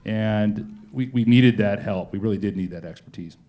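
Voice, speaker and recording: A man's voice: fairly low pitch, normal speed, dark tone. Man telling stories in an on-stage interview. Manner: animatedly re-enacts conversations in informal speech. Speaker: company executive being interviewed. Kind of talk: conversational on-stage storytelling.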